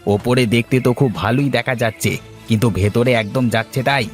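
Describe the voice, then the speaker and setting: A man's voice: medium pitch, fairly even intonation, a little fast, close to an everyday voice. Man playing an officious city crop buyer, music behind. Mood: accusing, demanding. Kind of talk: Bangla cartoon dubbing. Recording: music under the voice.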